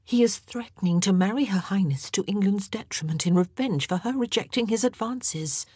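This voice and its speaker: A woman's voice: medium pitch, moderate pace, darkish tone, breathy edge, no room sound, studio quality, voice pushed up, close to an everyday voice. A woman voicing a worried young lady-in-waiting who murmurs her words.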